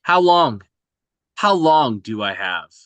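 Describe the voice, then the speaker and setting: A man's voice: mid-range voice, sing-song, takes it slowly, a bit bright, dry recording. A man playing a cold hunted assassin in a screenplay table read, terse and demanding as he interrogates. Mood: urgent. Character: cold, relentless male assassin on the run, interrogating.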